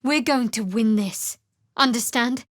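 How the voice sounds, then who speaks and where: A woman performs a young female cleric from a fantasy game, somewhat high in pitch and recorded very dry and close. She is wry and guarded, speaking with sardonic restraint like someone keeping secrets. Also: a little slow, no room sound, close to an everyday voice. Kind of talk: fantasy video game character voice acting.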